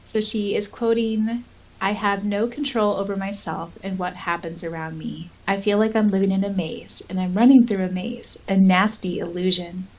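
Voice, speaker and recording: A woman speaks at an average pitch as a podcast co-host. She moves between casual fan chat and reading story passages aloud. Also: steady tone, moderate pace, a little mellow, rough recording. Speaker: podcast co-host and audiobook narrator.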